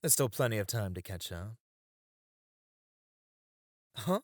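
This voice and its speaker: Man playing a slow-talking, flirty club host. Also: lowish voice, big pitch swings, no echo, clean studio sound, exaggerated voice. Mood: coaxing. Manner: suave, coaxing, playful. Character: flirty, doting male club host, cheekily possessive. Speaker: voice actor. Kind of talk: flirty ASMR roleplay.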